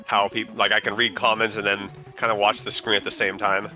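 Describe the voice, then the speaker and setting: Loud-talking man musing on a call. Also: on the low side, level tone, fairly quick, over music. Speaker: guest on a stream call. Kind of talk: casual chat.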